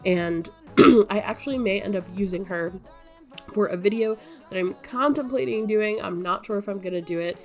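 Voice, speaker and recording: A woman's voice: medium pitch, moderate pace, soft background music. A young woman with a nasal voice, sick and with her voice wearing out, chatting to her viewers in a rambling way, full of ums and likes. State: stuffy-nosed and sick, voice wearing out. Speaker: gaming YouTuber. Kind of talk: casual chat to viewers.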